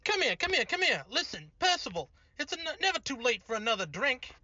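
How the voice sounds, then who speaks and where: Swooping, boozy, chummy reveler. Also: female voice, high-pitched, sing-song, sharp tone, no room sound, dull audio, exaggerated voice. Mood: mocking, tipsy. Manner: drawn-out, boozy, boisterous. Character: tipsy, chummy, mocking late-night hotel reveler. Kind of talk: radio drama acting.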